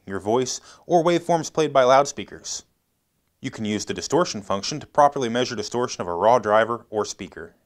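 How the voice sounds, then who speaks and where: Male product presenter, American, thirties, animated intonation, scripted how-to delivery. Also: mid-range voice.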